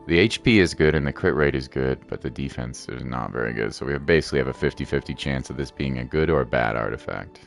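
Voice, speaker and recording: A man with an extremely deep, creaky voice gives laid-back game commentary. He muses aloud casually, with faint music in the background. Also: fast talker, few pauses. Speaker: game streamer.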